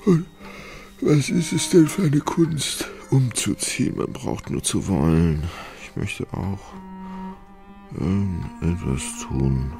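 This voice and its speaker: Lethargic gentleman, fretful whine, unhurried delivery, self-pitying, radio drama, music behind. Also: lowish voice, animated, creaky, full character voice. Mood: brooding, self-pitying. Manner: querulous, whining, given to sighing self-pity. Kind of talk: radio play acting.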